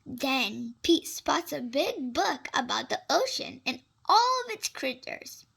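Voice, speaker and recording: A very high-pitched voice reads a children's storybook aloud at a slow, careful pace. The delivery is animated and expressive, with a creaky edge and little asides to young listeners. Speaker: storybook reader.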